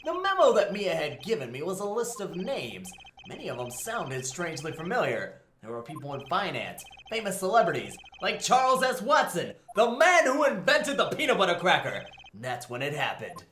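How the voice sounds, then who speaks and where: A man playing a jokey, earnest and excitable young defense lawyer in a game fandub, in a theatrical, wisecracking manner. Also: high voice, moderate pace, hardly any pauses, reverberant, a little hiss or hum, heavily acted. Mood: wry, confident. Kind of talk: fandub voice acting of a visual novel game.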